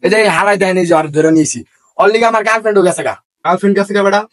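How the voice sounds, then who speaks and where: A man's voice: sits high, very fast, no room sound, lightly acted. A man with a Bangladeshi accent playing an aggrieved, hot-headed shop worker in a comedy skit, coarse and complaining. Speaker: comic actor in an online skit. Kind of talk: broad comedy skit acting.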